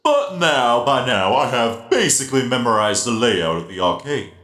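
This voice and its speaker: A man's voice: medium pitch, big pitch swings, normal speed, fairly bright tone. Man voicing a giant friendly robot spider DJ, roomy. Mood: genial, explanatory. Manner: genial, playful, explanatory. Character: giant friendly half-blind animatronic spider DJ. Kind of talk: comic dub character acting. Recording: roomy echo, music behind.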